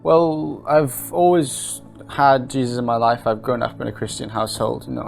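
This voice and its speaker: A man in his twenties, interviewed about his life, speaks in a calm, unhurried way with frequent hesitations. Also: medium pitch, creaky, soft background music. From Wales and Norway. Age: 20s. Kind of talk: casual personal testimony.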